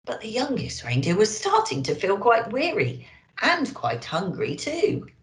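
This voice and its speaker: A woman reads a storybook to young children with expressive, lively rises and falls. She takes her time over the phrases, in a slightly low voice. The room adds a noticeable echo. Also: unhurried. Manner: expressive, addressing children directly. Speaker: children's storyteller in character as an elf. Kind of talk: animated picture-book reading for young children. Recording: roomy, echoey indoor sound.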